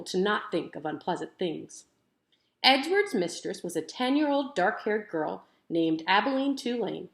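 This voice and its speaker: A woman's voice: mid-range voice, gravelly. A woman narrating a children's book aloud expressively, with careful, emphatic storytelling phrasing. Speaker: teacher reading aloud. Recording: roomy echo.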